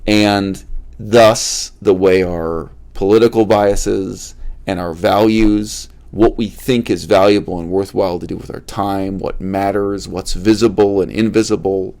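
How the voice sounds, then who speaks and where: Low, raspy-fry male host giving a blunt, emphatic talk to camera. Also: darkish tone. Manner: emphatic, repeats key words for stress; addresses viewers directly, asks rhetorical questions. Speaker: channel host. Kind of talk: unscripted philosophical monologue to camera.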